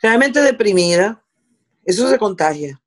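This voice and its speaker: A woman's voice: mid-range voice, lively intonation, measured pace. Puerto Rican woman recalling her reporting days. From Puerto Rico. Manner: mixes English words into Spanish. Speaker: interviewee, veteran television journalist. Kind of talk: conversational recollection in an interview.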